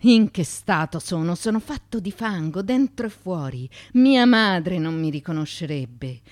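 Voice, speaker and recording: A woman's voice: on the low side, animated, measured pace, darkish tone, no echo. A woman voicing a tormented young partisan, feverish and desperately in love, in an anguished, emphatic dramatic monologue. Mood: anguished longing. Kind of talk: dramatic monologue from a literary reading.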